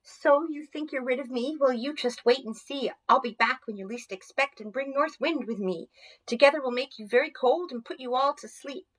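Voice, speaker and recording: A woman's voice: high voice, normal speed, a bit bright, far from the mic, voice pushed up, rough recording. A woman voicing an angry, bullying winter frost spirit in a children's storybook, with threatening taunts. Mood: angry, menacing.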